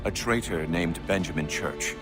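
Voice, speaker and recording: An actor playing a weary, dignified army commander in a video game, speaking formally and gravely. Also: male voice, deep voice, moderate pace, close to an everyday voice. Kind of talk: dramatic video game acting. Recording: loud music underneath. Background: music.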